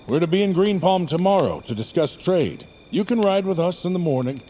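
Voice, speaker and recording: An actor in an audio drama playing a stern but honorable guard captain, formal and authoritative. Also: male voice, sits high, animated, brisk, mellow, slight background noise. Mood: gracious, steady.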